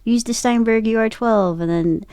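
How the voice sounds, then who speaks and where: A woman's voice: a little high, normal speed, darkish tone, clear, resonant tone, no echo. A woman giving a casual interview as a guest, telling personal stories and often quoting her own thoughts as she goes. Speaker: voice actor and podcaster, interview guest.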